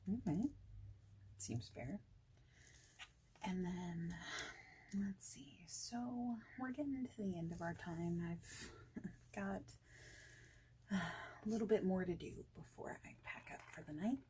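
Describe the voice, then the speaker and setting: A woman doing a soft-spoken ASMR interview roleplay, with a breathy voice that often slips into whispering and a casual, hesitant, chatty delivery. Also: medium pitch, slow, pauses often, slight background noise, poor audio.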